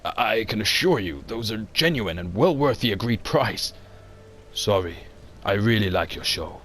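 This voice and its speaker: A man's voice: fairly low pitch, animated, normal speed, fairly bright tone, slight background noise. A man voicing an anxious, stubborn archaeologist with a hesitant manner in an amateur motion comic. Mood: nervous. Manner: hesitant, stammering. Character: anxious, stubborn male archaeologist, desperate for funding.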